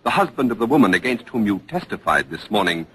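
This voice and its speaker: A man reporting in 1940s radio news style as host, formal, crisp and probing, on a vintage broadcast recording. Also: lowish voice, big pitch swings, quick pace, fairly bright tone, low-quality recording.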